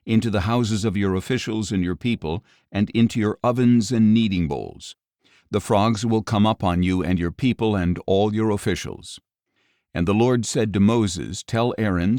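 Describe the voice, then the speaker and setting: A man reading scripture as an audiobook narrator, in a measured, solemn manner with clear enunciation. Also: on the low side, a little mellow, dry recording, studio quality.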